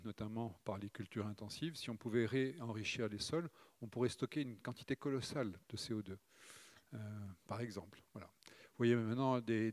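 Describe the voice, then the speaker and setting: A middle-aged man from Provence gives a conference talk over slides, speaking in a measured way and pausing often with fillers. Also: fairly low pitch, dry recording. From Morocco, raised in Provence. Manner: measured, hesitant with frequent "euh" fillers.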